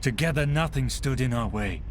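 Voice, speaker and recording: An actor playing a commanding older general, a self-assured villain in a video game, speaking grandly and formally. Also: male voice, medium pitch, animated, moderate pace, a little hiss or hum, lightly acted. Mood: intense, persuasive. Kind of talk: dramatic video game acting.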